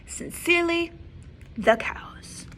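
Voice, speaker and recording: A woman voicing a group of demanding cows reading their typed notes aloud, loud and emphatic. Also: extremely high pitch, takes it slowly, slight background noise, voice pushed up, exaggerated voice.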